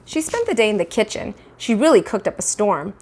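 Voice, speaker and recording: Female children's read-aloud narrator, thirties, very expressive, wide swings of pitch. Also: fairly quick. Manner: animated, acting out dialogue. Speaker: teacher reading aloud. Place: small indoor room at home. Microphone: clip-on lapel mic.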